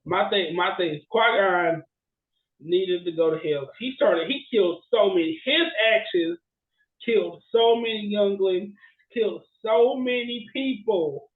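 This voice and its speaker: A man co-hosting a casual podcast, telling stories and ranting in an animated, slangy, exclamatory way. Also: sits high, unhurried, phone-quality sound. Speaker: podcast co-host. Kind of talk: casual chat, storytelling and ranting.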